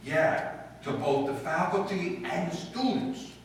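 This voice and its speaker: A man plays a slow-talking, dopey schoolboy sidekick in a radio comedy. He halts over his words, distant in a very echoey room. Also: fairly high pitch, expressive. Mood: earnest, slow. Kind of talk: old-time radio comedy acting. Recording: echoey room, distant mic, faint noise.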